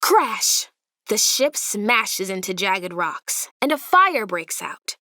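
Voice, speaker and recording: A woman narrating a children's storybook aloud in an expressive way, with deliberate, dramatic emphasis. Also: medium pitch, no echo, studio quality.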